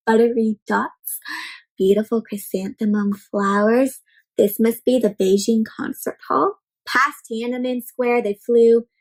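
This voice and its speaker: A woman reading a picture book aloud to young children, expressive and full of exclamations and questions to her listeners. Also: fairly high pitch, rough recording. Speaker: children's read-aloud storyteller.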